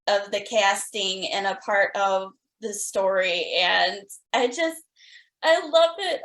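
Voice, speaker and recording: A woman interviews in a casual, gushing way, feeling her way through sentences. Her pace is slow and unhurried, and the sound is roomy and echoey. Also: fairly high pitch, a bit bright. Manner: gushing, hesitant phrasing with repeated likes. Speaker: podcast interviewer.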